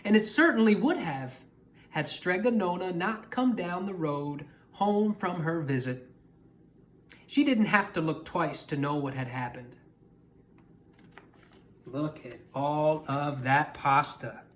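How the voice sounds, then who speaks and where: A woman's voice: sits low, slow pace, long pauses. A woman storyteller reading a picture book aloud expressively, talking directly to young listeners.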